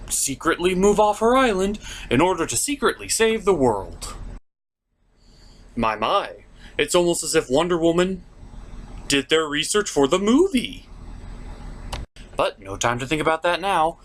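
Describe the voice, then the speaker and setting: A man with a lively, swinging delivery hosts a comedic review. He recaps in a sarcastic, exasperated way. Some background noise sits under his voice. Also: fairly high pitch. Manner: sardonic, exasperated. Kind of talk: sarcastic episode recap commentary.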